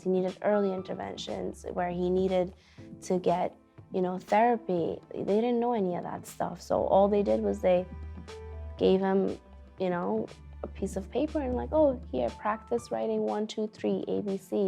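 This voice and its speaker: A young woman who is a teacher, telling her family's story in an earnest, halting way with many fillers. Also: medium pitch, gravelly, mellow. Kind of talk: personal storytelling interview. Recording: faint background music.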